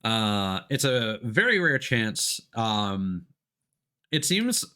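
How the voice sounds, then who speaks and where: A man's voice: lowish voice, very animated, drawn-out words, bright, forceful tone. A man giving live let's play commentary as a streamer, reading game text aloud and adding hesitant explanatory asides.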